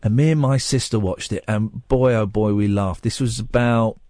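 A man's voice: lowish voice, moderate pace, a little mellow, no echo. A man from Slough with a southern English accent, hosting a live phone-in with dry, teasing banter. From Slough, England. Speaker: radio phone-in host. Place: radio studio.

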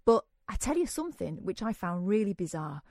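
English woman radio presenter, lively casual storyteller, dry close studio sound. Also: medium pitch, brisk, dry recording. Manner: chatty, animated storyteller with self-deprecating humor.

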